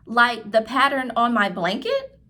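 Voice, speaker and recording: A woman's voice: a little high, very animated, unhurried, sharp tone, full character voice. A woman reading the part of a curious, earnest young schoolgirl in a storybook. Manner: earnest, questioning.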